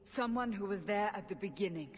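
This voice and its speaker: In a long, echoing hall, a stern warrior of the faith questions with grave formality , played by a woman. She is earnest and insistent, a fantasy game interrogator with a measured dramatic delivery. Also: mid-range voice, expressive, lightly acted. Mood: urgent, pleading.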